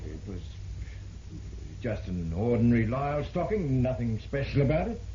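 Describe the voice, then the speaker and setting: An actor playing a despondent, deferential deserter on the witness stand in an old-time radio drama. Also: male voice, mid-range voice, sing-song, moderate pace, mellow, close to an everyday voice. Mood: hesitant, subdued. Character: despondent male deserter on trial for murder, meek witness. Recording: dull, noisy, echoey vintage radio recording.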